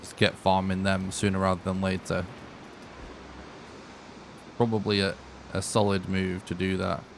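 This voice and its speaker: A young man streaming live gameplay who is losing his voice, thinking aloud and clearing his throat often. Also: deep voice, monotone, measured pace, long pauses, slight background noise. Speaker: game streamer.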